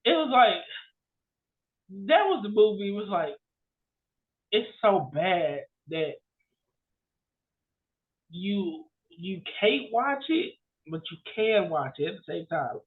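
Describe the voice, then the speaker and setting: High-voiced man, animated slangy podcast chatter. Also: takes it slowly, long pauses, phone-quality sound. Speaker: podcast co-host. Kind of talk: casual chat, storytelling and ranting.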